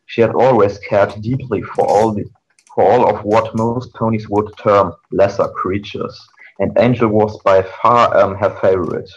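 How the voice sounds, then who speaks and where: A man's voice: fairly low pitch, steady tone, measured pace, slightly rough. A man reading a fan fiction story aloud as an amateur narrator, on a home-made recording. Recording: muffled amateur recording with hiss.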